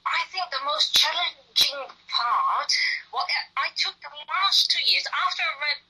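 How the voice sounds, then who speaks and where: A woman's voice: high voice, lively intonation, unhurried, bright, forceful tone, rough recording. A middle-aged woman with a Dutch accent, an author chatting casually and bluntly in an interview, often restarting her sentences. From Netherlands.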